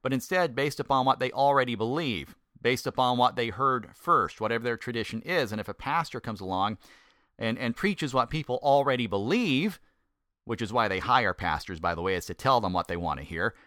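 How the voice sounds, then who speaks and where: A middle-aged man with a mid-range voice teaches scripture like the former pastor he is. He talks in an easygoing, folksy way and pokes fun at himself. He leans into slow, deliberate emphasis on the points that matter, and the sound is close and dry. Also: medium pitch, expressive, fairly bright tone, no room sound. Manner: folksy and self-deprecating, slows down to stress key points. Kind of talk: conversational Bible teaching.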